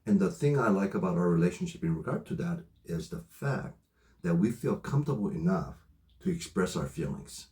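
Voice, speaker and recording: A man with a loud voice, laughing often, chatting with his partner on a talk show. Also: sits low, very animated, brisk. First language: not English. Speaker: co-host. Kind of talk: casual couple conversation. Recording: echoey room.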